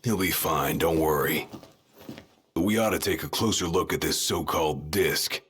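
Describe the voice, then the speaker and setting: An actor playing a gruff, protective, burly bodyguard in a video game, blunt and assured. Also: male voice, rumbling low, normal speed, fairly bright tone, a little hiss or hum. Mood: wary, steady. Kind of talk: English video game voice acting.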